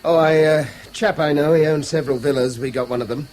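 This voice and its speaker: A man's voice: a little high, fairly even intonation, fast talker, lightly acted, rough recording. A British actor in a 1970s radio drama playing a guarded, conscientious man with a polite, hesitant manner.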